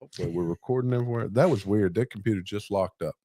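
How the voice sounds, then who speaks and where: A man's voice: on the low side, very fast, mellow. A man hosting a live podcast in an informal, conversational way, bantering casually. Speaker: podcast host.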